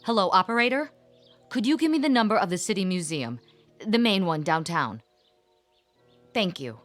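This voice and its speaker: An actress playing a brisk, no-nonsense grown daughter in a radio drama, firm and commanding. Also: female voice, mid-range voice, animated, faint music behind, natural voice. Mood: businesslike. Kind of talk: old-time radio drama acting.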